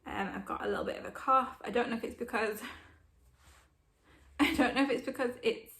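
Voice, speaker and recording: A woman's voice: on the high side, moderate pace, bright, forceful tone. A woman vlogging at home, chatty and rambling, sniffly with a sore throat. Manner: chatty, rambling, self-deprecating. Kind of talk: casual chat to camera. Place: home room indoors. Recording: roomy echo.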